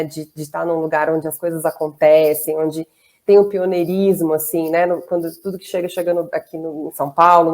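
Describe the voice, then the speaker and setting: Low-voiced Goiânia-accented woman, chatty. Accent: Goiás Brazilian Portuguese. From southern Brazil, raised in Goiás. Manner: chatty, warm laughs between phrases, many fillers. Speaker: interview guest. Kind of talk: casual interview chat.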